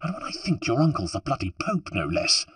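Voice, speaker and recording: A man's voice: medium pitch, very animated, moderate pace, husky, no echo. A man voicing a spirited, imperious younger noblewoman in a storybook, scolding and commanding. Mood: scornful, furious.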